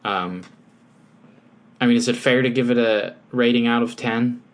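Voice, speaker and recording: A man co-hosts a podcast in relaxed, casual conversation. He speaks in an easygoing way, often pausing on "um" before he carries on. His pitch is in the middle range for a man. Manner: easygoing, halting with ums. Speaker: podcast co-host. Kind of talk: casual chat.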